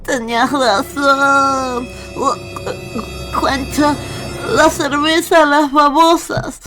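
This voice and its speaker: Whimpering, high, very slow slug. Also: female voice, fairly even intonation, fairly bright tone, faint music behind, full character voice, low-quality recording. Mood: moaning, pained.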